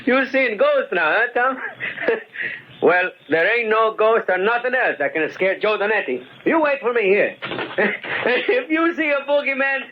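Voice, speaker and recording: Swaggering American laborer: high, very lively pitch, mocking slang, dull vintage radio sound. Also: male voice, unhurried. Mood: cocky, mocking. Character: cocky, fearless working-class park gardener. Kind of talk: 1940s radio acting. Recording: muffled 1940s radio recording.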